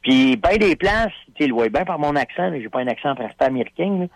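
A man with a Quebec French accent chats on the radio in a slightly high voice. He tells his stories with casual slang and plenty of fillers. The sound is dry and close-miked. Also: quick pace, gravelly, no echo. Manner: animated storyteller, folksy slang, many fillers.

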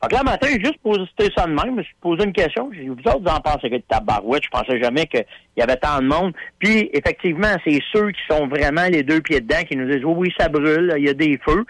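A man's voice: fairly high pitch, quick pace, no echo, poor audio. Chatty Quebec man on the radio. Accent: Quebec French. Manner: animated storyteller, folksy slang, many fillers. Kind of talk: casual radio chat.

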